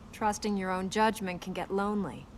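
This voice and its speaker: Casting: actress as resolute young princess for a video game, mid-range voice, guarded and dryly witty, some background noise. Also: female voice, mid-range voice, moderate pace, close to an everyday voice. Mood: probing. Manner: serious, guarded, dryly sarcastic. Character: earnest, determined young princess with dry wit. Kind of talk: video game voice acting, conversational banter.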